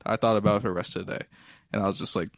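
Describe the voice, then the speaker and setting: A man co-hosting a casual talk show, soft-spoken and hesitant, often trailing off. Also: fairly low pitch, fairly even intonation, racing, vocal fry, no echo, studio quality. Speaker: podcast co-host. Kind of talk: casual chat about anime.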